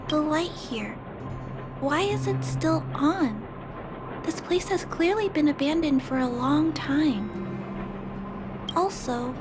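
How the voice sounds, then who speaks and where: A woman voicing a kind, polite young girl who gently thinks aloud with questions, in a video game cutscene dub. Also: sits high. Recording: game music under the voice.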